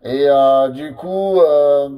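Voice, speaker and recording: A man operating a CB radio, chatting casually with lots of pauses and filler words. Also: fairly high pitch, clean tone, lo-fi sound. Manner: hesitant, full of fillers and tags.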